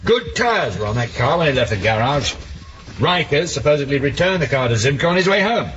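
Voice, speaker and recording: An actor playing a dogged, sceptical police inspector in a 1970s radio drama, probing and blunt with dry defiance. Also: male voice, a little high, big pitch swings, brisk, fairly bright tone. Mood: determined. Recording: dull, noisy old radio recording.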